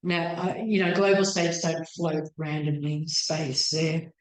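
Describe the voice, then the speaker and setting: The low, tiring voice of a woman researcher feeling her way apologetically through an evening society lecture in an echoey meeting room. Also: little pitch movement, slow pace, darkish tone. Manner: tentative, self-questioning, apologetic. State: losing her voice, throat-clearing. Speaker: PhD researcher presenting a talk. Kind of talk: academic lecture. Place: indoor meeting room with audience.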